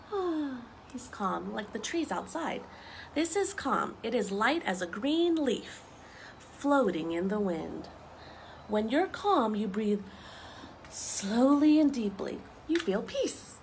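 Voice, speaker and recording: A young woman reading picture books aloud to children, expressive and explaining things simply as she goes. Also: on the high side, slow pace, gravelly, slight background noise, low-quality recording. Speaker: story time reader for children. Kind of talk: children's picture book read-aloud with asides. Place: outdoors on a wooden deck among trees.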